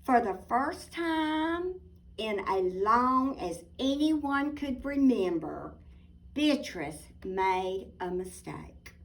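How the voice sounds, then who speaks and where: Lady reading a kids book out loud, high voice, big dramatic pauses, bit far from the mic. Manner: expressive, with dramatic pauses and asides to listeners. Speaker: storybook reader. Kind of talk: animated read-aloud of a children's picture book. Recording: voice distant from the mic.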